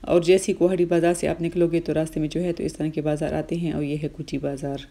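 A woman's voice: low voice, flat delivery, fast talker, vocal fry, background noise. A woman in her thirties, a vlogger narrating a casual shopping trip through bazaar shops, chatty and explanatory, with many fillers. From Pakistan.

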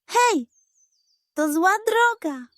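An alarmed cowboy hero from a children's western tale, acted by a woman. He calls out urgently, with the pitch leaping far above normal talk. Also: animated, extremely slow, no echo, clean studio sound, full character voice. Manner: urgent, crying out. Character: startled, heroic male cowboy rider shouting warnings.

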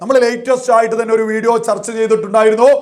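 A man's voice: extremely high pitch, level tone, racing. A man presenting news commentary to viewers in an emphatic, repetitive way, stretching out key words.

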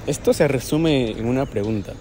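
A young man with a Peruvian accent arguing in colloquial speech, pleading and insistent in a halting way. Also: mid-range voice, over music. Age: young adult. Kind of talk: emotional phone argument, colloquial. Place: outdoors on a city street by a park.

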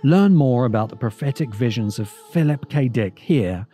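Man, video essay narrator, scripted delivery, relaxed mellow tone, soft music bed. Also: medium pitch, normal speed.

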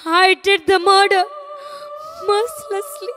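An actress plays a young woman tormented by guilt, slow and anguished. Her voice is very high and bright, over soft music. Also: expressive, exaggerated voice. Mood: remorseful.

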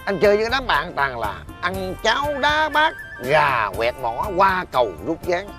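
A man's voice: sits high, wildly swooping pitch, moderate pace. An actor with a Southern Vietnamese accent playing an agitated husband and gang boss in a folk-tale comedy drama, stammering and repeating himself, bitter at his friends. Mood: bitter, indignant. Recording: music behind the dialogue.

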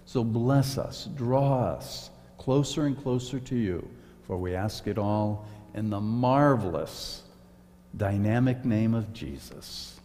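A man in his sixties, a pastor, giving church announcements and leading a prayer in an earnest, unhurried way. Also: on the low side, animated, mellow, slight background noise. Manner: slow, earnest pastoral delivery. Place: church sanctuary, indoors. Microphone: far camera, standing in front of a pulpit. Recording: reverberant church hall.

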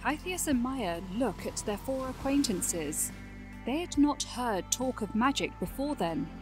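A woman's voice: on the high side, lively intonation, unhurried, music in the background. A woman acting as storybook narrator of a scripted fantasy audio drama. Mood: narrating.

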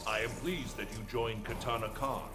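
An actor playing a stern, solemn warrior god in a video game, speaking in formal, grave, measured declamation. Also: male voice, mid-range voice, steady tone, natural voice. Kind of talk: dramatic video game character dialogue. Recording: game music behind the voice.

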